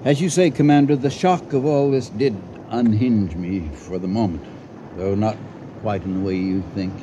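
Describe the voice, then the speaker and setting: A man's voice: on the low side, moderate pace, noisy background, close to an everyday voice. Actor as a grave, urgent man, muffled recording. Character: shaken, urgent yacht owner intent on justice. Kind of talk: radio drama acting.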